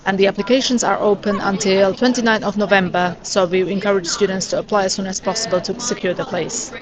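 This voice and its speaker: A woman representing a university answers interview questions steadily, pausing with frequent "uh"s. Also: mid-range voice, steady tone. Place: busy education expo hall. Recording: crowd noise behind. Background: crowd chatter.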